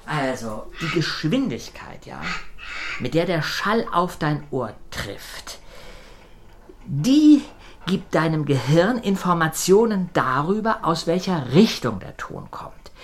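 Very low-voiced, slow woman explaining. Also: sing-song. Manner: pausing, directly addressing the listener. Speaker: narrator explaining headphone audio. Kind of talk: playful scripted explanation.

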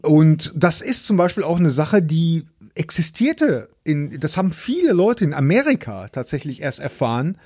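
A man's voice: on the high side, big pitch swings, normal speed, hardly any pauses, a little mellow, husky, dry recording, clean studio sound. A man co-hosting a film podcast, chatting casually and often searching for his words.

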